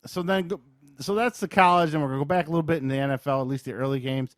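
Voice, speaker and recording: A man's voice: on the high side, very fast, no echo, studio quality. A man co-hosting a podcast, talking sports in an informal, opinionated, conversational way. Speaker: podcast co-host. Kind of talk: casual sports chat.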